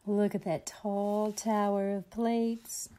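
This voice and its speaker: A woman's voice: medium pitch, level tone, slow, warm tone. A woman reading a picture book aloud expressively for young children, explaining things simply like a teacher.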